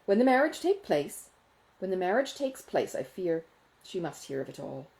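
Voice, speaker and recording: Woman as a pompous, animated Regency gentleman. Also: fairly low pitch, normal speed, gravelly, low-quality recording. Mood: confidential, pompous. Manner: pompous, exclamatory, confiding. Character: self-important, money-minded gentleman, fussy and astonished. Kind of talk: acted audiobook dialogue from a Regency novel.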